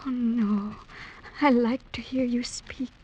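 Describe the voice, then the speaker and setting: A gentle, sheltered young sweetheart from an old radio play. She speaks slowly and tenderly in a highish, soft voice. The sound is dull and vintage. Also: fairly even intonation, husky. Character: gentle young woman in love, elder's sheltered daughter. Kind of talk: 1940s-50s radio drama acting.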